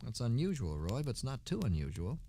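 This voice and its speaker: A man's voice: medium pitch, sing-song, a little fast, warm tone. A man hosting a scripted 1960s radio program. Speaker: radio show host.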